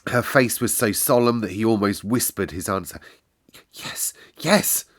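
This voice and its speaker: A man narrating a children's classic aloud in an expressive storytelling style. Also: on the low side, brisk, no echo, clean studio sound.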